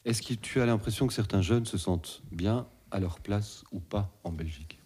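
A male interviewer with a low, mellow voice and a husky grain. He asks open, conversational questions as the host. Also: moderate pace.

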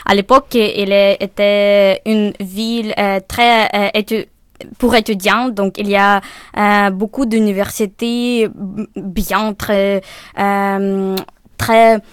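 A woman's voice: mid-range voice, fairly even intonation, slow, clean tone, no room sound, studio quality. A young woman from Ukraine, a student, answering questions while searching for her words. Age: young adult. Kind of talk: interview answers.

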